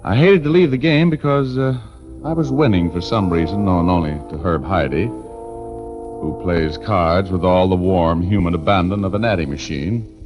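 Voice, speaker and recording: A man's voice: deep voice, normal speed, close to an everyday voice. An American man playing a wry, easygoing private detective, narrating in breezy 1940s radio drama style with folksy hesitations. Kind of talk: 1940s radio acting, first-person narration. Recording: vintage radio broadcast, faint music.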